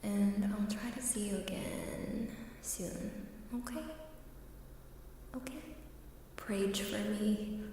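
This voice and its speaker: A woman streamer chatting softly in ASMR style, often slipping into a breathy whisper, hesitant and sounding unwell. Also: mid-range voice, steady tone. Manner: slow, hesitant, halting, apologetic. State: unwell, queasy. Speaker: live streamer. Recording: very echoey, distant, faint background noise.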